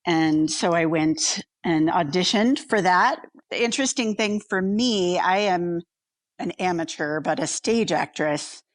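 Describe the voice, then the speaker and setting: Slightly low-voiced female podcast co-host. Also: measured pace, no echo. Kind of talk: casual podcast chat.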